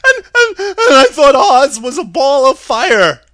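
A man's voice: very high-pitched, big pitch swings, a little slow, a bit bright, no room sound, studio quality, voice pushed up, full character voice. A man voicing a timid big lion in a storybook, stammering and blustering in a whine. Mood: tearful.

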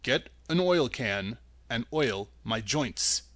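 A man reading a storybook aloud, voicing a sad, polite man made of tin who speaks slowly and mournfully. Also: animated, a bit bright, no echo. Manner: slow, low and mournful.

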